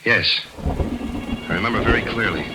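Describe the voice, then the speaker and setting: A man's voice: fairly low pitch, normal speed, very noisy, close to an everyday voice. An actor playing a confident, wry American newspaperman, narrating and talking in a 1940s radio drama. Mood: recounting. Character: wisecracking American newspaperman on trial.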